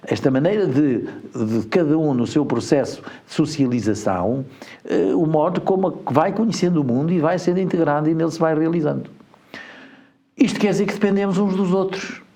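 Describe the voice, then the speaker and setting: A man from Portugal speaks with a European Portuguese accent, his voice gravelly and dark. He is a studio interview guest who explains at length, pausing often on drawn-out hesitations. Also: on the high side. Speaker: guest, education group administrator.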